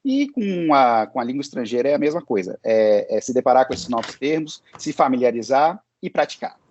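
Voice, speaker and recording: A man's voice: medium pitch, sing-song, fairly quick, a little mellow, no echo. A man teaching English, answering interview questions in a hesitant way, with many fillers and restarts.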